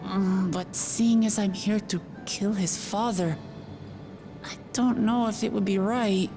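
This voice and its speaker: An earnest, slow-spoken young heroine. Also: female voice, medium pitch, fairly even intonation, over music. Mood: thoughtful. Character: earnest, compassionate young heroine with strong resolve. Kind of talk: video game cutscene acting.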